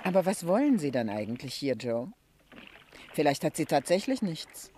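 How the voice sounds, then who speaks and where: A worldly, sceptical lady in a radio play. She speaks wryly and knowingly, with lively swings of pitch, over clear background noise. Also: normal speed. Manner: wry, knowing. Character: worldly, teasing lady friend, sceptical and shrewd. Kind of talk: German radio drama acting.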